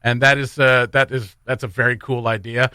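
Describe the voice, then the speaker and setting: A man's voice: medium pitch, little pitch movement, normal speed, sharp tone, clear, resonant tone, no room sound, studio quality. A man hosting a morning radio show, chatting casually and jokingly, rambling loosely between community announcements.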